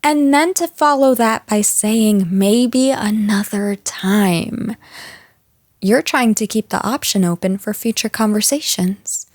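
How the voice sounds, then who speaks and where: A woman performs a possessive yandere girlfriend in an intimate audio drama. She takes her time, taunting and condescending, with a menacing edge under the pet names. Her medium-pitched voice sits in a very dry, close recording. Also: darkish tone, no echo. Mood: jealous, incensed. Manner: slow, taunting, condescending pet names, slipping into breathy whispers. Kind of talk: roleplay audio drama, intimate address to listener.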